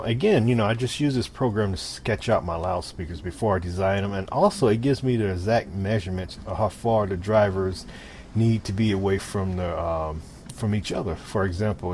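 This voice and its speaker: A man presenting a software tutorial in a casual, informal way, explaining step by step as he demonstrates. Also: sits low. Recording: clear steady background noise.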